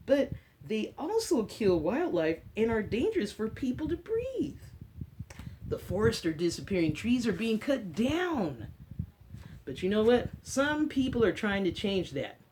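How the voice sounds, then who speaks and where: A woman's voice: a little high, huge pitch swings, unhurried, darkish tone, a little hiss or hum, rough recording. An American woman hosting a children's story time, speaking in a teacherly way with simple short phrases and questions to her listeners. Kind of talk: story time talk and read-aloud for children.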